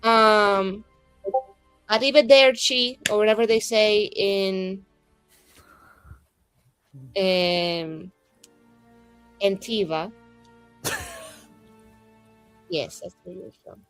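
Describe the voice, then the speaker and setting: A woman's voice: mid-range voice, steady tone, drawn-out words, long pauses, a bit bright, smooth, no echo, rough recording. A woman acts out a brash young heroine of a fantasy tabletop game. She is blunt and affectionate, chatting in rambling, sweary slang. Music runs underneath. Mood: coaxing.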